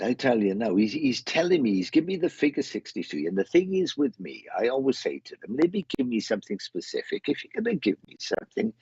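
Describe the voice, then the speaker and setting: A man's voice: lowish voice, gravelly, darkish tone, slightly rough. A man with a Welsh accent chatting casually as a medium hosting a live online show, rambling and often repeating his phrases. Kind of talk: casual live chat, greeting viewers. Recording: muffled roomy online stream audio.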